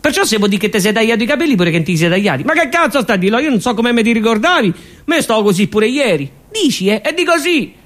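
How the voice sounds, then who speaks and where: A man playing an exasperated high-voiced Roman guy. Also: very animated, fast, a bit bright, full character voice. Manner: exasperated, stammering repetitions. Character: fretful touchy young man arguing with his girlfriend. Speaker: stage actor. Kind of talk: live theatre comedy.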